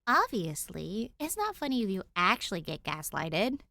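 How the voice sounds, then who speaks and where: A woman's voice: mid-range voice, sing-song, normal speed, gravelly, pushed and bright, no echo, exaggerated voice. A woman voicing a cheeky, reckless teenage girl, playful and mischievous, in an audiobook story. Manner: mischievous, flippant, giggly. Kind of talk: audiobook character dialogue.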